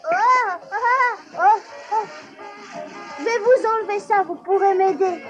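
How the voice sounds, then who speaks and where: A woman's voice: very high-pitched, slow pace, muffled recording. A woman voicing a cheerful, meddling little boy in a French cartoon dub, eager and full of exclamations. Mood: excited. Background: cartoon music score.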